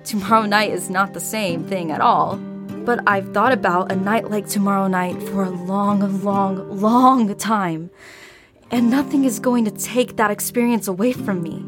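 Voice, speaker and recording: A woman's voice: medium pitch, steady tone, a little slow, lightly acted. Young woman band singer played by an actress, blunt, sweary, stubborn, audio drama w music under it. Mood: earnest, pleading. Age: young adult. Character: defiant young woman, passionate local band singer and guitarist. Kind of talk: naturalistic audio drama acting.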